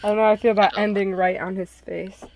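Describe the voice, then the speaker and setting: A woman's voice: medium pitch, level tone, fast talker, darkish tone, poor audio. A woman chatting casually as a guest in a group visual novel playthrough. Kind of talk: casual chat.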